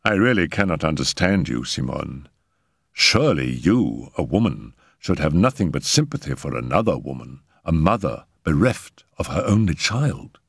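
Storybook role: earnest, coaxing male lover, persuasive and expressive, acted by a man reading aloud, close dry sound. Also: mid-range voice, a little slow, husky, no room sound, voice pushed up. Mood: cool, reproachful.

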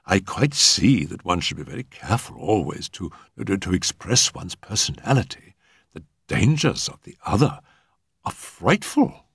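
A man's voice: sits low, sing-song, moderate pace, gravelly, fairly bright tone, husky, no room sound, clean studio sound, full character voice. A man voicing a vague, gushing society lady in a storybook, with a fluttery, sighing manner. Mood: earnest, sighing.

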